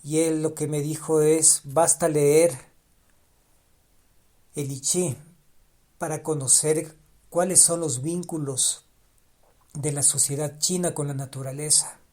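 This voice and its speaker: A man's voice: fairly high pitch, fairly even intonation, slow pace, pauses often, mellow. A man speaking alone on a podcast in a reflective way, often restarting his phrases and repeating ideas for emphasis, his first language Spanish. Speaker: podcast host.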